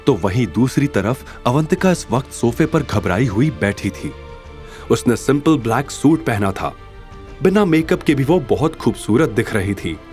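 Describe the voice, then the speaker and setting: A man narrating a scripted audio drama story in a dramatic style. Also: medium pitch. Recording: faint music behind.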